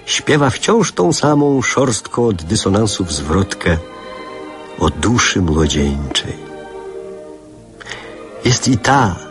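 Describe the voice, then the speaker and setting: A man's voice: low voice, full character voice. An actor playing a nostalgic older man who narrates memories of his boyhood in a lyrical, reflective radio drama style.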